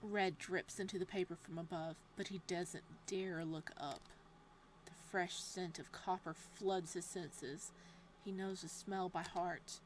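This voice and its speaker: A woman reading a horror visual novel's text aloud in a hushed, steady voice. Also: on the low side, a little hiss or hum, poor audio.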